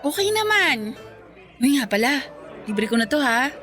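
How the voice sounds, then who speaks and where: A woman's voice: high-pitched, very animated, unhurried, pushed and bright, soft background music, exaggerated voice. An actress playing a chatty, candid single career woman, breezy and teasing with her friend in radio drama dialogue. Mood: breezy, self-mocking.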